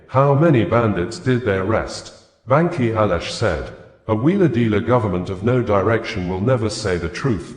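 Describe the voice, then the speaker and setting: A man reading scripted news reports and statements aloud in a formal manner. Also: lowish voice. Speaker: news reader. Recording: echoey, roomy voice recording.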